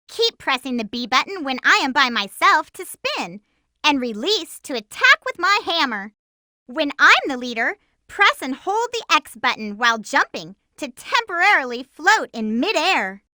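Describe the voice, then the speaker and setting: A woman voicing a perky, bold cartoon hedgehog girl hero in a video game, giving hints in a chirpy, clearly enunciated, eager way. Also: sits high, pushed and bright, dry recording, studio quality, exaggerated voice. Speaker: playable character giving gameplay hints. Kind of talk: video game tutorial voice acting.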